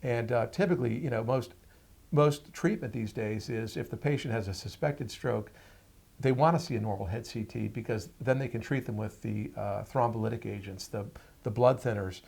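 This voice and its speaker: Male interview guest, mature physician with noticeable vocal fry, explaining clearly in everyday terms. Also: medium pitch, moderate pace, a little mellow.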